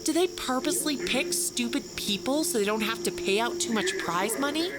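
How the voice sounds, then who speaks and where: A woman's voice: on the high side, lively intonation, moderate pace, heavy background noise, close to an everyday voice. An actress playing an anxious woman who recounts a frightening night in detail in an audio drama, with a snippy edge. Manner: detailed, snippy, increasingly fearful recollection. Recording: prominent music under the voice. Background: music.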